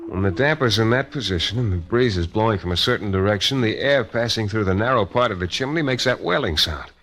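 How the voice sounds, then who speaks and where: A man's voice: lowish voice, natural voice. An actor playing a tough, wry private detective who narrates his own case in a clipped 1940s radio style. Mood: brisk, explaining. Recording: noisy vintage radio recording.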